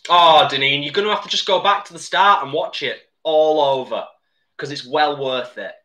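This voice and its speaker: A man's voice: fairly high pitch, moderate pace, sharp tone. A man chatting casually on a live cooking stream from his home kitchen, laughing often and reading out viewer comments.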